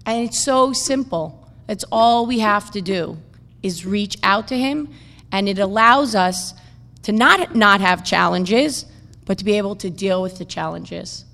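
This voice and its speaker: A woman's voice: mid-range voice, fairly bright tone. A woman giving an inspirational talk, earnest and repeating key phrases for emphasis. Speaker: speaker giving a blessing. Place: hall. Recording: reverberant hall.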